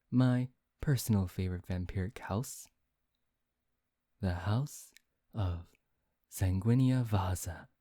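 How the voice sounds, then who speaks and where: Man playing a slow, dark-voiced proud vampire. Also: no room sound, clean studio sound. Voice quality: low, dark, soft voice. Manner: slow, halting, pausing to search for words. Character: proud, dismissive male vampire lecturing on his history. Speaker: ASMR roleplay voice actor. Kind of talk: ASMR roleplay monologue.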